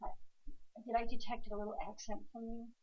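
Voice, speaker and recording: A woman working as a medium, giving quick, chatty readings to a live studio audience and firing off questions. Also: fairly high pitch, little pitch movement, warm tone, rough recording. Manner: brisk, questioning, quick to laugh, talks to the crowd. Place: stage before a studio audience.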